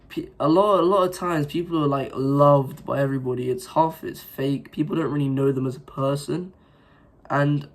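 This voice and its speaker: A young man with a sore throat from recent illness, giving an earnest, rambling motivational talk to his viewers with many fillers and restarts. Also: medium pitch. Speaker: self-improvement vlogger. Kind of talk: casual motivational talk to camera.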